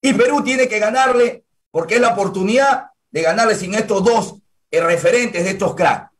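A man's voice: high-pitched, moderate pace. A man in his forties hosting a sports show from a home room over a video call, arguing firmly and insisting on his turn to speak. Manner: firm, argumentative, claims the floor. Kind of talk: heated sports debate. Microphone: video call webcam.

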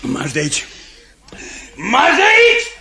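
An actor playing a boorish, hot-tempered landowner and creditor, blustering and grumbling in 1950s radio theatre style. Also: male voice, very high voice, huge pitch swings, heavy background noise, full character voice. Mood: furious shouting. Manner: bellowing, blustering, grumbling to himself.

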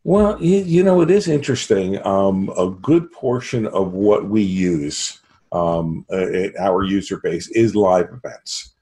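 Low-voiced American man presenting products. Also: lively intonation, a little slow, warm tone. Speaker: sales executive, interview guest. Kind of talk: product pitch in an interview. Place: small home office, indoors. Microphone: computer video call.

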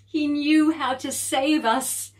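Lady doing a squeaky eager little girl, all high and breathless. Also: steady tone, takes it slowly. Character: excited young girl on a boat.

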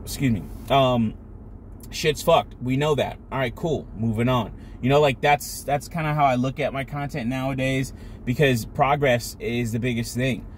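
A man's voice: mid-range voice, normal speed, a little hiss or hum. A man talking casually in a rambling, profane way, often searching for his words. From Jamaican home culture. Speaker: video creator. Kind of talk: casual monologue.

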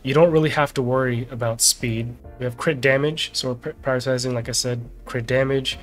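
A man's voice: mid-range voice, steady tone, a little fast. A man in his thirties, slightly nasal as he gets over a sickness, giving a casual game walkthrough. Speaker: game guide video host. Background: background music.

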